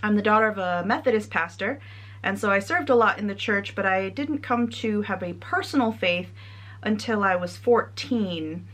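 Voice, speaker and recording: A young woman with a Connecticut American accent, a trainee priest giving a measured, earnest sermon. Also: medium pitch, expressive, a bit bright. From United States.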